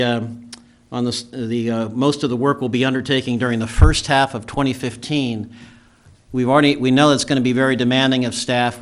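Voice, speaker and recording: A man's voice: medium pitch, fairly bright tone. Cautious man speaking at a council meeting. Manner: cautionary, halting with fillers. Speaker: city council member. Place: council chamber.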